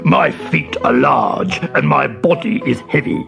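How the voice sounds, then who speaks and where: A performer voicing a large, heavy, slow-witted but willing hippo in a children's storybook, with a drawn-out delivery. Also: mid-range voice, lively intonation, vocal fry, fairly bright tone, soft background music, exaggerated voice. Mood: slow, ponderous.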